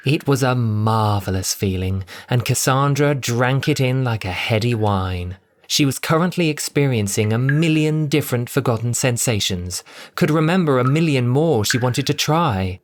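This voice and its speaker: A narrator reading a scripted story in an expressive, theatrical, dramatic audiobook style. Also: medium pitch, normal speed.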